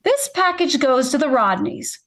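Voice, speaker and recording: A woman playing a patient, practical middle-aged mother, gently insistent, in vintage radio sitcom style. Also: high voice, big pitch swings, moderate pace.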